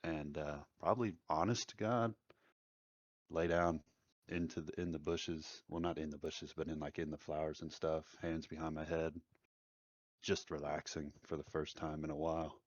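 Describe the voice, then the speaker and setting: Very deep-voiced man, dull lo-fi mic. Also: steady tone, moderate pace, no echo. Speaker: tabletop game player. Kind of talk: casual chat describing his character's actions.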